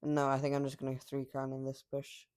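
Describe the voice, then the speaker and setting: A man giving casual, rambling commentary over his mobile gameplay, cocky and trash-talking, his voice slightly raspy from filming late at night. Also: mid-range voice, completely flat delivery, a little fast, darkish tone.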